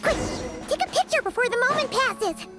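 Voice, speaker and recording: A woman voicing a tiny, excitable, childlike fairy companion in a video game, bubbly and chatty. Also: extremely high pitch, fairly quick, heavily acted. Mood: gleeful, bubbly. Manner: refers to self in third person, exclaiming. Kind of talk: animated video game voice acting. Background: music.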